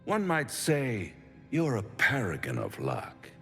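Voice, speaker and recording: An actor playing a suave, pompous devil in a video game, teasing and menacing in a florid, silky delivery. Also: male voice, mid-range voice, huge pitch swings, slow, creaky, a bit bright, big-room sound, faint music behind, exaggerated voice. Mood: mocking menace. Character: suave, theatrical male devil, smug, menacing tempter. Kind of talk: theatrical video game acting.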